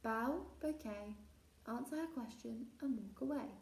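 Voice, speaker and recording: Distant roomy sound, woman acting as prim little boy, high, whiny, goody-goody, storybook character. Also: a little slow, a little mellow. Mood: prim, protesting. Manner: earnest, easily upset, tell-tale. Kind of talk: children's storybook reading. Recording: roomy echo, distant mic.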